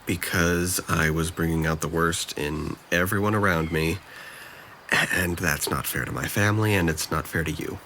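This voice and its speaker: Low-voiced, hesitant, depressed young man, acted. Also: pushed and bright, a little hiss or hum. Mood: self-loathing, regretful. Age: young adult. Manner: hesitant, halting, subdued. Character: depressed, self-blaming young man ending a summer romance. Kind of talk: naturalistic dramatic dialogue.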